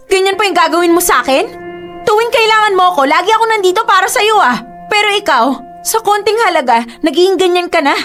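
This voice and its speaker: A woman's voice: very high-pitched, lively intonation, fairly quick, a bit bright, faint music behind. A woman with a Filipino accent acting an emotional, reproachful young girlfriend in a radio drama. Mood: angry, hurt. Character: hurt, indignant young girlfriend pleading with her boyfriend.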